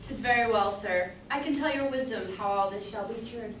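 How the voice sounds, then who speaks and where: A woman's voice: fairly high pitch, normal speed, lightly acted. Woman playing a blustering merchant father, very echoey. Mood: stern, scornful. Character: stern, haughty old merchant father, blustering and scheming. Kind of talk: staged reading of period stage comedy. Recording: echoey room from far off, faint noise.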